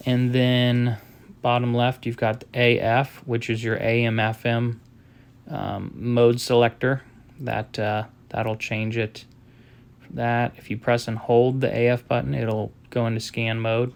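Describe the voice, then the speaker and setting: A man giving an informal step-by-step tutorial as a product demonstrator, explaining in a halting, matter-of-fact way. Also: medium pitch, level tone, gravelly, smooth.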